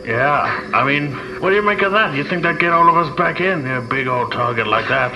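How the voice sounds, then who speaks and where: A man's voice: on the high side, animated, brisk, low-quality recording. A man playing a talkative, boastful alien starfighter pilot in improvised tabletop roleplay, breezy and self-assured. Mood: chatty, eager. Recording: clear background noise and ambience.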